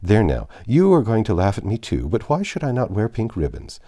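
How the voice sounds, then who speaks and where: Man voicing an animated, gossipy young Regency woman. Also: on the low side, fairly quick. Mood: pleased chattering. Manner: chatty, breathless run-on gossip with exclamations.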